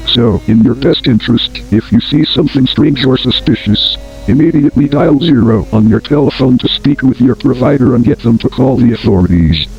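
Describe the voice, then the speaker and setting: Muffled lo-fi tape audio with music underneath carries a man acting as a grave male announcer. His voice sits at a medium pitch. He reads survival instructions in a formal, measured, deadpan way, in the manner of an analog horror instructional tape. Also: creaky, lightly acted. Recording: dull lo-fi old tape, music behind.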